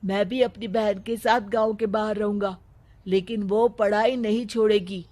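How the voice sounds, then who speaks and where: A woman's voice: medium pitch, steady tone, normal speed, dark tone, natural voice. A woman voicing a loyal young village man in a cartoon, a protective brother who speaks urgently and earnestly.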